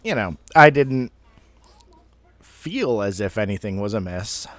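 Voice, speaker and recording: A man's voice: lowish voice, expressive, unhurried, a bit bright, no room sound. A man in his thirties telling a personal story casually, in a rambling, self-deprecating way with some mild swearing. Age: 30s. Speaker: online storyteller.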